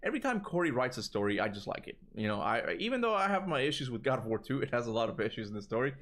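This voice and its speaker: Man, Cuban accent, casual streamer talk, rambling and lively, mid pitch. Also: rapid-fire. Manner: rambling, animated, swears casually. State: tired voice from long talking. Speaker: gaming streamer answering chat. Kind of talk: casual livestream chat.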